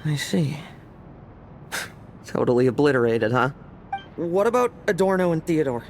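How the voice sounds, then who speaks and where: A man's voice: fairly high pitch, animated, measured pace, soft background music. A man playing a cocky, defiant young knight in an anime-style video game, with a teasing, wry and theatrical delivery. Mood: wry, tired.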